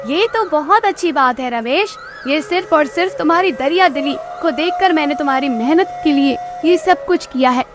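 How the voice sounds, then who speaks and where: A woman voicing a kindly magical mermaid in a cartoon story, gentle and approving. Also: sits high, lively intonation, moderate pace, hardly any pauses, over music. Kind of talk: Hindi cartoon story acting.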